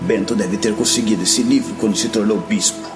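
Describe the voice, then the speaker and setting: An actor playing a grim, world-weary veteran warrior master in a fantasy audio drama, speaking bluntly and solemnly. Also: male voice, fairly low pitch, fairly even intonation, brisk, natural voice. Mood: grave, confiding. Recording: background music.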